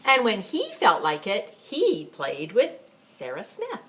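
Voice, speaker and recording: A woman reading a picture book aloud to children as a library storyteller, with expressive, emphatic phrasing and chatty asides. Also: mid-range voice, takes it slowly. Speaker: story time reader for a school library.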